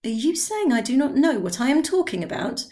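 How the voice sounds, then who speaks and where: A woman doing an excitable drunken old man. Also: a little fast. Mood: eager. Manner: eager, pleading, high and loud.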